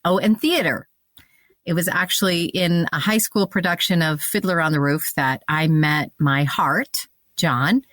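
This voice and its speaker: A woman's voice: on the low side, a bit bright, no room sound, clean studio sound. A woman in her fifties from Montana, co-hosting a podcast in a playful, self-deprecating chatty manner. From Montana, USA. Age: 50s. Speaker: podcast co-host. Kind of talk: casual podcast chat.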